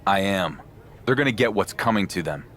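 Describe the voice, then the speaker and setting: Low-voiced, brooding wrestling champion. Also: male voice, lively intonation, normal speed, a bit bright. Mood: grim resolve. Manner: terse, resolute, serious. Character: determined, brooding male champion wrestler, stubborn loner. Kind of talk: scripted wrestling game cutscene acting.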